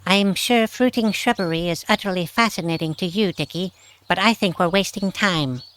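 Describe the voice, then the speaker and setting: A woman's voice: lowish voice, moderate pace, a bit bright, lightly acted. Haughty young adventurer girl, played by a woman. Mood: condescending. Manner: prim, condescending, formal wording. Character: haughty, impatient, scheming young female adventurer. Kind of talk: scripted audio drama acting.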